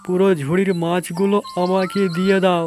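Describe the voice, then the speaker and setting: A man voicing a hearty village market man in an animated moral story, hawking and haggling. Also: high voice, brisk, soft background music, natural voice. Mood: hearty, interested. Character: hearty male village meat seller and fish buyer.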